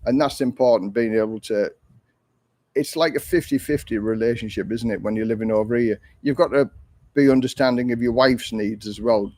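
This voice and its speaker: An older man, hosting a casual live stream and answering viewer questions in a rambling, chatty way, with a voice he calls monotone. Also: mid-range voice, no echo. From England. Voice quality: self-described monotone voice. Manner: rambling, chatty, with frequent ums and restarts. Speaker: livestream host.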